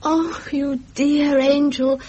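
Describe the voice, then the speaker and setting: A woman's voice: sits high, flat delivery, takes it slowly, darkish tone, highs cut off. A woman playing a loyal, protective aristocratic confidante in a radio drama, fervent and refined. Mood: devoted sympathy. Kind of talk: 1970s British radio drama acting.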